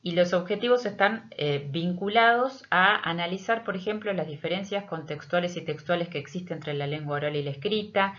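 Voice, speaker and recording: A middle-aged Argentine woman, a teacher, explaining a project in a prepared but hesitant, measured presentation with frequent pauses. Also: on the low side, fairly bright tone. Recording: dull, lo-fi audio.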